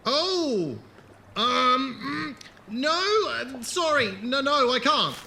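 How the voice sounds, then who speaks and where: A man's voice: very high-pitched, very animated, very slow, gravelly, pushed and bright, slight background noise, heavily acted. A man playing a hesitant, earnest wanderer haunted by an old war, in a fan audio drama. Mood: awkward, flustered.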